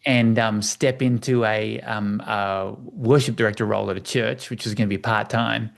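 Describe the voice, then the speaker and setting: Australian man, interview guest, easygoing storytelling in a creaky, gravelly voice. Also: fairly low pitch, steady tone, normal speed. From Tasmania, Australia. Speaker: interview guest, church staffing executive. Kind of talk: casual conversational storytelling. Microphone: video call.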